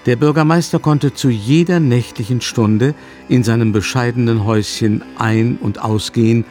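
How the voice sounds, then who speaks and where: A man's voice: mid-range voice, normal speed, a little mellow, soft background music. A man narrating a literary radio drama from a script, as a storyteller.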